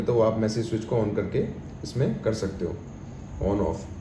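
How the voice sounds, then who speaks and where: An echoey, noisy room recording of an Indian man with a fairly low voice. He walks through a product step by step in an informal, explanatory way. He repeats himself and drops English terms into his speech. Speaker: product demonstrator. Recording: echoey room with clear background noise.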